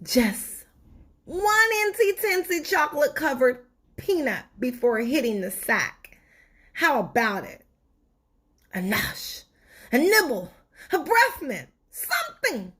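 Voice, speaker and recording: Storybook sweet tooth, played by a woman: high, whiny, bossy, melodramatic begging. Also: very animated, takes it slowly, long pauses, a bit bright, heavily acted. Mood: wheedling. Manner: melodramatic, wailing and wheedling. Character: bossy, whining, pleading sweet tooth with a sugar craving.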